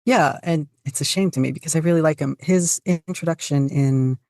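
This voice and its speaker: A podcast guest chatting casually, speaking in halting but enthusiastic bursts. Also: mid-range voice, darkish tone, no room sound, clean studio sound. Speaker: podcast guest, fan fiction writer. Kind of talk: casual interview chat.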